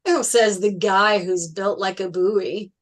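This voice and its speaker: An actor playing a teasing, jokey brother in a naturalistic family drama table read. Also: male voice, high voice, fairly even intonation, takes it slowly. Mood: mocking.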